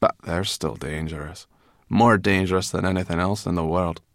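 A man's voice: low voice, steady tone, moderate pace, gravelly, no echo, clean studio sound. A man voicing a grumpy female brownie in a storybook, sarcastic and scornful. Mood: grim warning.

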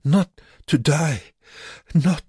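A man voicing a frail, sick and dazed camp prisoner in an audiobook, in a halting mumble. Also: fairly high pitch, warm tone, husky, dry recording, clean studio sound, voice pushed up, exaggerated voice. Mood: pleading, feeble. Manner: mumbling, halting, groaning whisper. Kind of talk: audiobook character voice.

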